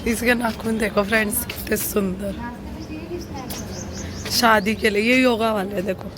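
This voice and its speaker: A hoarse young woman chatting casually with friends while vlogging on a phone outdoors. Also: medium pitch, steady tone, a little slow, noisy background. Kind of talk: casual vlog chat with friends.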